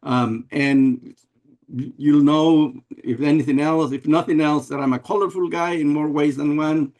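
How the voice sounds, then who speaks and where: An older man from America, giving an unhurried webinar presentation over a video call and often restarting his phrases. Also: a little high, fairly even intonation, a little mellow. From Guatemala and El Salvador. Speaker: guest presenter, math educator.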